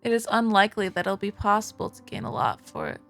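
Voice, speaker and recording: A woman's voice: mid-range voice, sing-song, fast talker, gravelly, a bit bright, soft background music. A woman voicing a distressed, insistent young wife in a visual novel, speaking earnestly. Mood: earnest, explaining. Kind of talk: visual novel dialogue read aloud.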